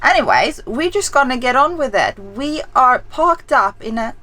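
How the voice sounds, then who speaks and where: A woman's voice: high-pitched, moderate pace. Upbeat young Swedish woman, English not her first language, talks to camera outdoors over background music. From Sweden. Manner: chatty, upbeat, gets excited about views. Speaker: vlogger host. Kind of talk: casual travel vlog to camera. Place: outdoors by the sea, in windy weather.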